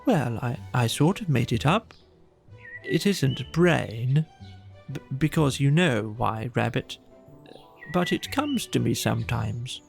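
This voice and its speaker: A woman voices a gentle, slow-witted storybook bear. The talk is unhurried, humble and dreamy, halting softly as the thought forms, with a little creak. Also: extremely low pitch, lively intonation, soft background music, heavily acted. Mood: modest, dreamy. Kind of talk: children's storybook character voice.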